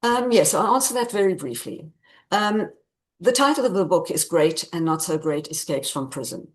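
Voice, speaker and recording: A woman author answering interview questions in a conversational, measured and explanatory way, repeating phrases for emphasis. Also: lowish voice, expressive. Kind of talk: conversational interview answers about own book.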